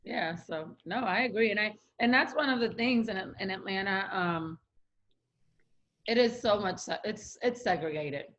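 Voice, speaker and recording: A woman podcast co-host in casual chat, explaining her views in a measured, reflective way. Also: mid-range voice, a bit bright. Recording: dull lo-fi mic.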